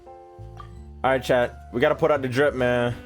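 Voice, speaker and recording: A man's voice: medium pitch, little pitch movement, unhurried, faint music behind. A young man doing casual, slangy, profane reaction commentary as a gaming streamer, riffing and repeating himself.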